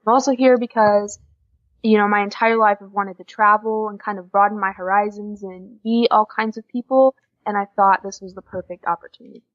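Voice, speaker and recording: An eighteen-year-old American woman talks casually as a guest in an interview. Her voice is of average pitch for a woman. The sound is slightly muffled and lo-fi. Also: fairly even intonation, brisk. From Oklahoma, United States. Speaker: interview guest, exchange student. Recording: dull lo-fi podcast audio.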